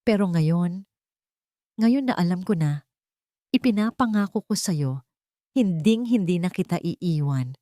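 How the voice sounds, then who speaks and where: A woman narrator plays an earnest man, a powerful father full of regret, pleading with deep emotion in a romance story. The voice lifts above the usual narration and sounds dry and close. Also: expressive, a little slow, pauses often, dark tone, no room sound. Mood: pleading, remorseful.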